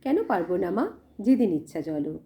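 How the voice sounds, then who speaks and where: A woman's voice: on the low side, very animated, moderate pace, mellow. A woman voicing a humble, loyal village cowherd man in an audio story, simple, deferential and eager to help. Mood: obliging.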